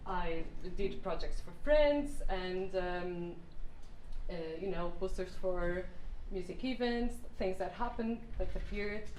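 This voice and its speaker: A woman's voice: medium pitch, a little mellow. A woman in her thirties from Portugal, with a Portuguese accent, giving a guest talk. She speaks with frequent fillers and restarts. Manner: many fillers and restarts, slightly non-native phrasing. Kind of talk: hesitant lecture talk. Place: lecture room. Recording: roomy hall with faint noise.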